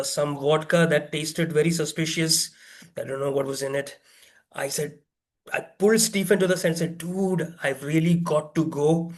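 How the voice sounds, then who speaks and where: A man giving a humorous, exaggerated storytelling speech, theatrically acting out dialogue and putting rhetorical questions to listeners. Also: fairly high pitch. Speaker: workshop speaker telling a tall tale.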